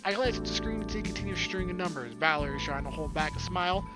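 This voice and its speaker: A man's voice: sits high, fast talker. A young man streaming a visual novel, reading its narration aloud with casual, joking asides. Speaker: let's play streamer. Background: game music.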